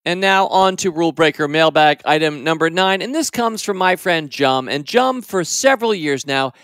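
Guy hosting a podcast, kinda high voice, dry close studio sound, chatty and upbeat. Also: expressive, moderate pace, a bit bright, clear, resonant tone, no room sound. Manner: theatrical dramatic recitation of a parody poem. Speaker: podcast host. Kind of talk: reading a listener mailbag aloud.

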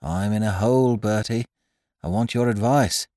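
A man reading aloud, voicing a hard-up young gentleman who talks in a gloomy, rueful, chatty way. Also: lowish voice, moderate pace, no echo, studio quality, pitched below the performer's own voice, close to an everyday voice.

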